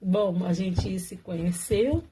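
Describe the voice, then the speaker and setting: A woman's voice: lowish voice, takes it slowly, dark tone, husky. A woman telling viewers her own love story in a casual, chatty way, often laughing and talking straight to them.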